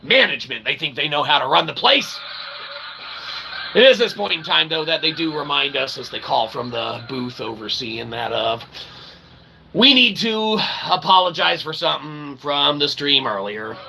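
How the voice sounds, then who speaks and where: Male live stream host, fairly high gravelly voice, wordy mock-formal chat, noticeable background noise. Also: animated, normal speed, pushed and bright, rough recording. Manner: wordy, pseudo-formal phrasing with frequent "okay?" tags. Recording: clear background noise, room ambience.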